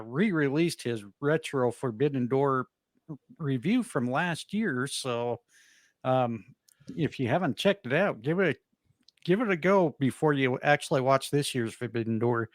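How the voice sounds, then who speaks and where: A man hosting a wrestling podcast in a relaxed, rambling way. He talks at a middle pitch, pads his lines with fillers and pokes fun at himself. His mic sounds dry and close, with no room around it. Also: measured pace, dry recording. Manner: easygoing, rambling, self-deprecating with frequent fillers. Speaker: podcast host. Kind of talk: casual wrestling podcast chat.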